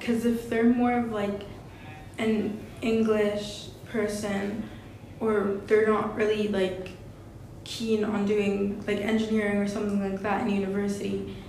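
Teen girl student talking casually, kinda flat, super echoey room. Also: unhurried. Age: teens. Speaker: school student. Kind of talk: casual, hesitant discussion. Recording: very echoey room, faint rumble.

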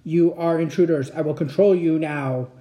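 A reader voicing a menacing male robot guardian with a flat, mechanical delivery, in a children's storybook. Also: medium pitch, moderate pace, muffled recording, lightly acted. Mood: cold menace.

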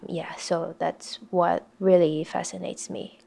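A young woman from Hong Kong talks slowly and softly, stopping often to search for words. Her voice has a gravelly fry running through it. She is a piano contestant speaking casually in an interview. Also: fairly low pitch, level tone. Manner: soft-spoken, halting, with many fillers.

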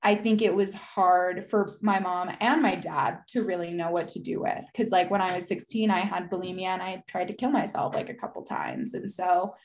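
A woman's voice: on the low side, fairly even intonation, moderate pace, hardly any pauses, a little hiss or hum. A young woman from the United States, a podcast guest speaking candidly in a casual, reflective way, with lots of "like" fillers. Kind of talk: candid conversational interview.

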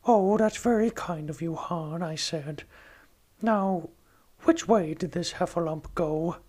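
A man doing an impression of a gentle, simple-minded cartoon bear who loves honey, reading a diary aloud. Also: fairly high pitch, takes it slowly, pitched above the performer's own voice. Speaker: podcast host. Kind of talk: amateur storybook impression.

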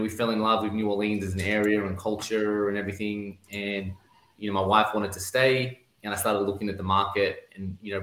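A man's voice: sits low, fairly bright tone. Aussie guy rambling through his story on a podcast, lots of you-knows, roomy echo. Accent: Australian. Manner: rambling, many "you know" fillers and restarts. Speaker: podcast guest, real estate investor. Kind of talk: casual interview storytelling.

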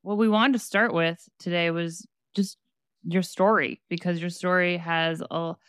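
Woman, podcast host, bubbly, chatty, smooth clear tone, dry sound. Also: on the low side, fairly even intonation, a little slow, dry recording. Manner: chatty, bubbly, lots of fillers. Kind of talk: casual podcast chat.

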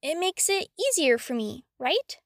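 A woman's voice: high voice, very animated, a little slow, no echo, studio quality, exaggerated voice. A woman voicing a polite, eager, evasive young boy wizard in a storybook reading.

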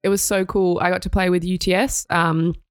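A woman's voice: on the low side, little pitch movement, a little fast, clean tone, no room sound, studio quality. A young Australian woman co-hosting a sports news podcast, reading stories and chatting in an upbeat way. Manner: upbeat, reads news items then chats. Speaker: podcast co-host.

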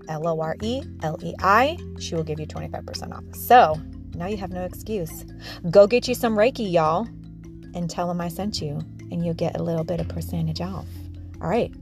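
A woman's voice: lowish voice, moderate pace, music in the background. A woman hosting a spiritual podcast, bubbly and effusive, laughing often. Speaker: podcast host. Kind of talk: casual chat.

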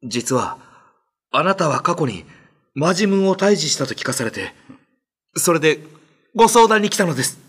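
A husky, anxious, pleading older brother. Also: male voice, fairly high pitch, big pitch swings, moderate pace, long pauses, fairly bright tone, heavily acted. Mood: deferential, worried. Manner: earnest, deferential, very polite. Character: humble, anxious older brother seeking help. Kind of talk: Japanese radio drama acting.

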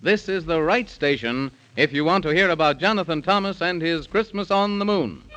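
A man's voice: fairly high pitch, normal speed, over loud music. A man narrating a 1930s children's radio story in dramatic, sing-song rhyme, on a muffled vintage recording.

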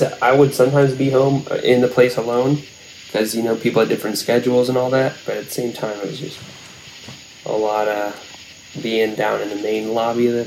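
A young man in casual conversation, wandering through his thoughts with plenty of fillers and false starts. His pitch sits in an ordinary middle range. A faint noise hangs under the recording. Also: rough recording. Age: young adult. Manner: rambling, many fillers and restarts. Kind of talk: casual chat.

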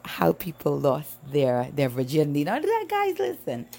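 A woman's voice: low-pitched, huge pitch swings, normal speed, gravelly, mellow. A woman in her late thirties from Trinidad, chatting casually and familiarly to livestream viewers as she tells stories. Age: late 30s. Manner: chatty, familiar, addresses the audience often. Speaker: livestream host. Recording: music playing behind the voice. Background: background music.

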